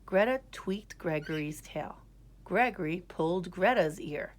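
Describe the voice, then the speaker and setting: Slow, animated woman storyteller. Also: on the low side. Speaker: storyteller reading a picture book aloud. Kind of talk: scripted children's story narration.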